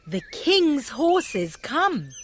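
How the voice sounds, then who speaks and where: A woman narrating a scripted children's story, in simple, deliberate phrases for young learners. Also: sits high, very animated.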